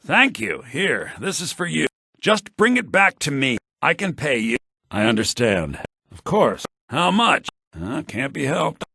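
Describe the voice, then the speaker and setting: An actor playing a brash, sharp-tongued fantasy townsman and fighter in a video game, with a rough, gravelly voice. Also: fairly high pitch, very animated, measured pace, dry recording, heavily acted. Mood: matter-of-fact.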